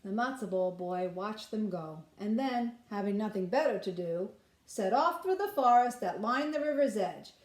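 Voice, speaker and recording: A woman reading a children's storybook aloud like a nursery teacher, pausing to put simple questions to listeners. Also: medium pitch, animated, a little mellow, reverberant.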